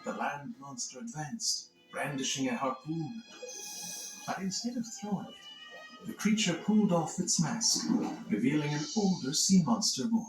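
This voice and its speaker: A man reading scripted children's read-along narration in an expressive, dramatic storytelling manner. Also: on the high side, moderate pace. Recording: echoey narration over music. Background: music.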